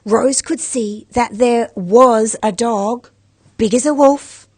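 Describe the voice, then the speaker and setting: A woman reading a children's story aloud as an expressive narrator. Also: a little high, slow pace, husky, dry recording. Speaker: storybook reader.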